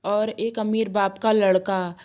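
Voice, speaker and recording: A woman narrating an animated moral tale in a scripted storytelling style. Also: medium pitch, slow.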